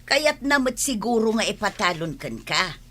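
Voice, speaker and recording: A woman's voice: mid-range voice, sing-song, normal speed, heavy background noise, close to an everyday voice. A woman playing a nosy village woman in a comedy radio drama, with an Ilocano accent. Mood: chatty.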